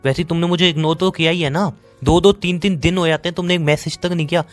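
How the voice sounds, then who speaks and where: A man's voice: on the high side, fairly even intonation, soft background music, close to an everyday voice. A man with an Indian accent playing a sarcastic, dismissive young boyfriend in a phone-call romance drama. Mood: sarcastic, accusing. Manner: sarcastic, dismissive, rapid-fire. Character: bitter, sarcastic young boyfriend fed up with his girlfriend.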